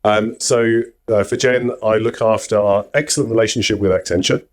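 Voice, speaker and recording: A man introducing himself and his work at a conference talk in a relaxed, measured way. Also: fairly low pitch. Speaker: conference speaker. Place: conference hall before an audience.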